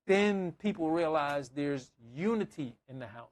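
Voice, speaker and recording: Man, preacher, slightly high-pitched, conversational sermon, emphatic, repeats phrases for weight. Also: expressive, unhurried. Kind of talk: conversational sermon with scripture reading and anecdotes.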